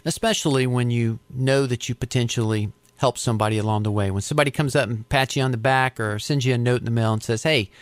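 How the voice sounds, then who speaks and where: Middle aged american guy telling stories in an interview, kinda halting and thoughtful, mid pitch, really dry recording. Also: no room sound. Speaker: interview guest, aviation safety advocate. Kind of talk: conversational storytelling interview.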